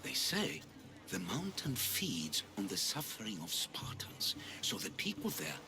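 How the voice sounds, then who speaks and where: Slow, lofty poetic storyteller. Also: male voice, mid-range voice, sing-song. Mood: knowing. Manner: grandiose, lyrical, savouring words. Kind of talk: video game cutscene acting. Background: loud music.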